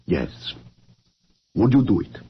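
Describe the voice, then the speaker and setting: A solemn, blunt statesman in a vintage science-fiction radio play. The voice is low and formal, deliberate in phrasing but wide in its rise and fall. It comes through a dull old recording with faint noise. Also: sing-song, creaky, full character voice. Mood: grave, blunt. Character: grave male world council president, former scientist, blunt. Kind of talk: 1950s radio drama acting.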